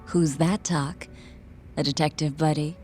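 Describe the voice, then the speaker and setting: Low-voiced, teasing barwoman. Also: steady tone, measured pace, darkish tone, faint music behind. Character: familiar, teasing woman at a bar. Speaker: video game voice actor. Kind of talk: English game dub dialogue.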